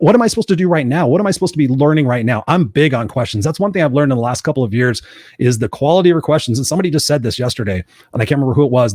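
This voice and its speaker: A man hosting a live motivational show, telling personal stories in an earnest, energetic way. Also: medium pitch, no echo, clean studio sound. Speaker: podcast host.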